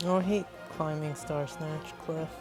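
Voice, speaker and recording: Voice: medium pitch, steady tone, unhurried. A gameplay video maker giving casual commentary, musing offhand as if to themselves. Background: loud game music.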